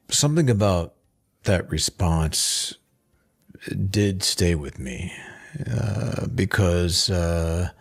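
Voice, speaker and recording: Very deep, slow-talking man. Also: breathy edge. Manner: hesitant, musing, understated. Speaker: video essayist. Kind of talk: reflective, conversational monologue.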